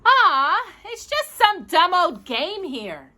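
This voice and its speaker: A woman's voice: very high-pitched, very animated, slow pace, bright, forceful tone, pitched above the performer's own voice, full character voice. A woman voicing a bossy, grumpy older brother in a storybook, whiny and scornful.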